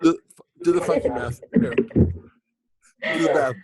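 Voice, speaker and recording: A man co-hosting a podcast, keeping up an absurd joke with deadpan banter. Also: mid-range voice, slow pace, creaky, crowd noise. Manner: deadpan, keeps up an absurd bit. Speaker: podcast co-host. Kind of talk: joking banter.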